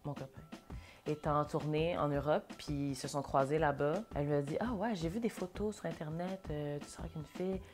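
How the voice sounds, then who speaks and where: A woman's voice: low-pitched, brisk, a little mellow, soft background music. A woman from Montréal with a Québécois French accent, chatting casually as an interview guest and mixing English phrases into her French. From Montréal, Québec. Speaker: interview guest, singer and dancer.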